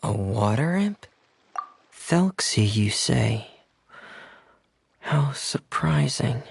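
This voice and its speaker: A man voicing a shy, reserved teenage boy in a video game, soft-spoken, hesitant and apologetic. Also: mid-range voice, lively intonation, creaky, a little hiss or hum, full character voice. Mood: puzzled, reserved. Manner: hesitant, halting, quiet.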